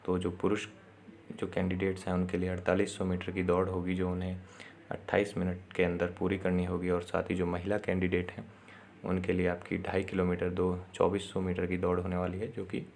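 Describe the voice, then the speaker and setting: A man giving an informal instructional explainer, addressing viewers directly and often pausing on short confirmations. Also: low voice, monotone, vocal fry, darkish tone. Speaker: presenter of an exam and job information video.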